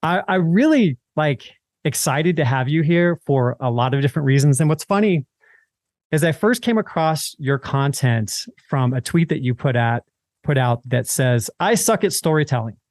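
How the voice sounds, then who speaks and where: Male podcast host, slightly high voice, conversational interview delivery, close dry recording. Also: expressive, moderate pace, a little mellow, dry recording.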